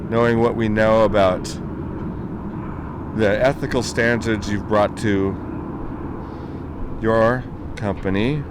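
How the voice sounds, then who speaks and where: A man from the American Southwest hosts a friendly, chatty interview. His voice sits low. Traffic and street noise run clearly underneath. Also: steady tone, slow pace, long pauses. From Southwest US, northern New Mexico and southern Colorado. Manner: friendly, chatty, laughs easily. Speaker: interview host. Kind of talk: casual interview chat. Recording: noisy background with traffic.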